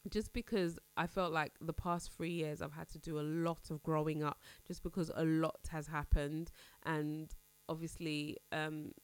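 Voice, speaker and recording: A woman's voice: sits low, flat delivery, unhurried, clean tone, no room sound. A woman in her thirties hosting a casual podcast, chatting candidly with a congested, nasal voice as she recovers from a cold. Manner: chatty, candid, rambling with restarts. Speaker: podcast host. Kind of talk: casual personal chat.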